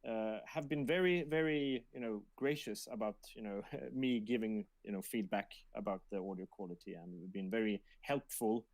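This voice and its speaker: A man co-hosting a casual livestream, speaking in a halting way and often repeating his words. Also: fairly low pitch, no room sound. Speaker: livestream co-host reading backer questions.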